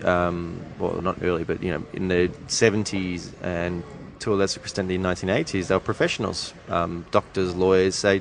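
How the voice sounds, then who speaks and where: A man's voice: low voice, slight background noise. A man interviewing a guest in a casual chat, talkative and hedging with fillers.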